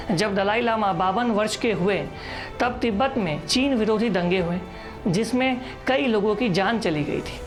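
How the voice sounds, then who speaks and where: A woman reading a scripted news explainer as a narrator. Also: mid-range voice. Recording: voice-over with music underneath. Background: background music.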